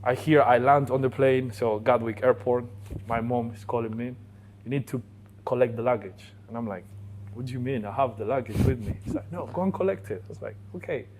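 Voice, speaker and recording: Young guy from colombia telling his story, gets animated and laughs, faint hum behind. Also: mid-range voice, fairly quick, low-quality recording. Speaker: guest. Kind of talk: casual interview storytelling.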